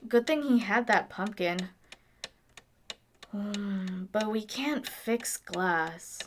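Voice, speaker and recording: A woman's voice: medium pitch, animated, very slow, a little hiss or hum. A woman playing a nerdy, caring young engineer in an audio drama, talking in a casual, exclamatory, teasing way. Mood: amazed, fretting.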